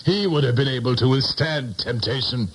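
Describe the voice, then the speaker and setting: An actor playing a haunted, defensive middle-aged American politician in an intense 1970s radio drama style. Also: male voice, mid-range voice, normal speed, close to an everyday voice. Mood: agitated, defiant. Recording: noisy 1970s radio broadcast recording.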